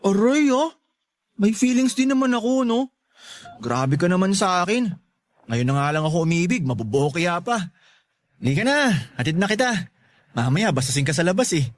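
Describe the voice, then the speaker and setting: Cheeky, swooping high-voiced young loafer played by a man. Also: big pitch swings, normal speed, pauses often, dry recording. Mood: mock hurt. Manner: teasing, wheedling, boastful. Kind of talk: Tagalog radio drama acting.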